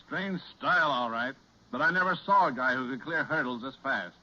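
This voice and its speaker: An actor playing an excitable sports onlooker, exclamatory and animated in 1940s radio style. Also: male voice, medium pitch, moderate pace, natural voice. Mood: impressed. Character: excitable male sports onlooker marvelling at athletes. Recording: muffled, noisy 1940s radio recording.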